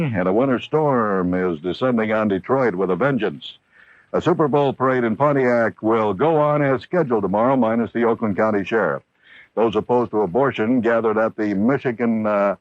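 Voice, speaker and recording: An American man anchors radio news in a low voice. The sound is dry and close, a little dull like an old AM tape, as he delivers headlines. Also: no echo.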